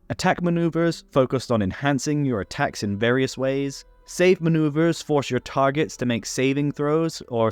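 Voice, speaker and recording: A man's voice: mid-range voice, no echo. A man hosting a tabletop game rules series, delivering scripted explainer narration in an instructional way. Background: background music.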